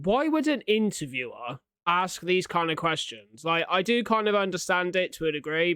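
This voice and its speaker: A man's voice: a little high, sharp tone, clean tone, no room sound. A man giving casual, questioning reaction commentary as a video host, in colloquial speech.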